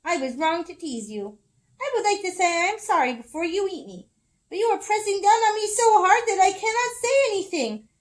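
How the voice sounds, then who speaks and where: A woman voicing a cheerful, boastful little male squirrel in a storybook, chirping in a high squeaky voice. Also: very animated, a little fast, hardly any pauses, bright, forceful tone, heavily acted. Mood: pleading.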